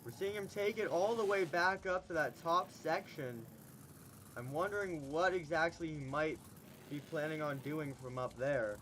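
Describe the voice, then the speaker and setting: High-voiced hyped arena announcer man. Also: a bit bright, a little hiss or hum. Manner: booming, drawn-out hype calls. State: losing his voice. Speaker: monster truck event announcer for a simulated show.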